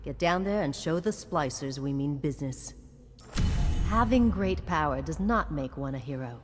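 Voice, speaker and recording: An actress playing a stern, wise female commander in a video game, measured, watchful and authoritative. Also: low-pitched, expressive, long reverb tail, faint music behind. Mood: stern, commanding. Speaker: mentor and commander. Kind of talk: cinematic video game acting.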